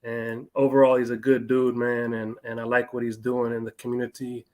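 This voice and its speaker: Flat-toned male interview host. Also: medium pitch, normal speed. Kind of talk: casual interview hosting.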